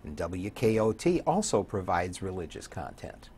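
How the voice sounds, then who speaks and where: An older man talking conversationally as an interview guest, a radio DJ with a husky voice. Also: low-pitched, very animated, moderate pace, mellow. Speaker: guest, radio program director and morning show DJ. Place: indoor TV talk show studio.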